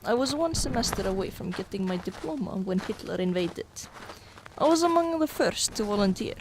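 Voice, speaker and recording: A woman's voice: medium pitch, animated, fairly quick, background noise, natural voice. A woman playing a resolute young Soviet sniper lieutenant in a radio drama, speaking formally and sternly. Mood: earnest.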